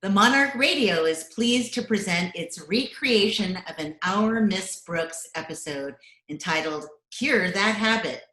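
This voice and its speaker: Measured female radio announcer, echoey room. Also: lowish voice, fairly bright tone. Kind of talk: scripted old-time radio announcing. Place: recorded remotely at home. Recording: echoey room on video call.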